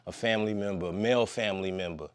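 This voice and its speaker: Guest, man, 50s, very low voice, relaxed slangy rambler. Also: fast, clean tone. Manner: laid-back, slangy, long-winded. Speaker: podcast guest. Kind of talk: casual conversation.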